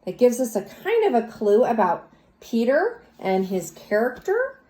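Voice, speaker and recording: A woman reads a children's story aloud in an expressive, teacherly way, speaking as if to young listeners. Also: a little high. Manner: teacherly, pauses to question and comment. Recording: roomy, echoey sound.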